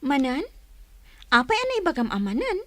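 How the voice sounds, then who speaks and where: A woman's voice: sits high, wildly swooping pitch, moderate pace, no echo. A woman playing a chatty, amused older-sister village gossip in a radio drama, with an Ilocano accent. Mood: surprised, chatty.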